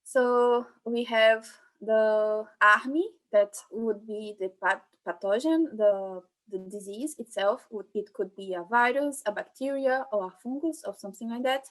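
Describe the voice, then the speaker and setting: A young woman from Brazil with a Brazilian Portuguese accent, giving an informal science talk online and pausing often with fillers and restarts. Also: fairly high pitch, low-quality recording. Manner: hesitant, many fillers and restarts. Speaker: guest speaker, PhD student. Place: home room, online event. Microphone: computer mic on video call.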